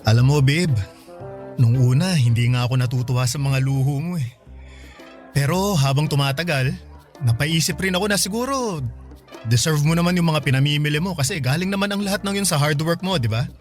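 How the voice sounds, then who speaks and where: A man's voice: a little high, fairly quick, faint music behind, close to an everyday voice. A man acting a thrifty, careful young boyfriend, earnest and caring, in a Filipino radio drama with a Filipino accent. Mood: affectionate.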